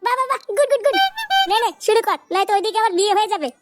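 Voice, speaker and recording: A brash little cartoon rascal. The voice is artificially raised to a shrill squeak and rattles along fast. He is crude and excitable, with light music underneath. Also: no room sound. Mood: brash, excited. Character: foul-mouthed cartoon boy, loud, cheeky, excitable drinking pal. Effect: pitch-shifted up. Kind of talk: comic cartoon dubbing.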